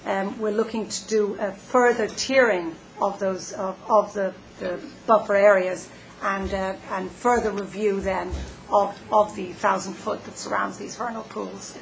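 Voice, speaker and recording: A woman presenting planning work at a public meeting, measured and hesitant with many fillers. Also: mid-range voice, fairly even intonation, creaky, slight background noise, rough recording.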